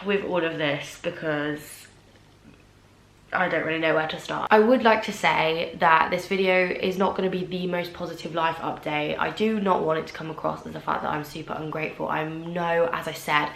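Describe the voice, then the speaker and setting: A woman's voice: deep voice, steady tone, moderate pace, vocal fry, fairly bright tone. A young woman chatting casually to camera as a vlogger, with a stuffy nose and lots of filler words. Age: young adult. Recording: roomy echo.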